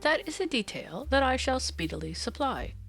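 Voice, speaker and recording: A woman voicing a confident, amused gentleman detective who speaks precisely and teasingly in an audiobook story. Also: sits high, very animated, moderate pace, creaky, faint music behind, voice pushed up, heavily acted. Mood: assured, courteous.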